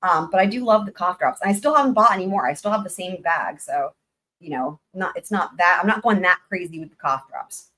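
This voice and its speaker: A woman hosting a livestream in casual chat, rambling with many fillers and greeting viewers by name with upbeat shout-outs. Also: on the low side, animated, a little fast. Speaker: livestream host.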